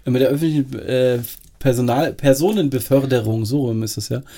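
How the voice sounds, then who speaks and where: A man's voice: medium pitch, brisk, dark tone. A man chatting casually as a podcast co-host, with teasing, ironic banter.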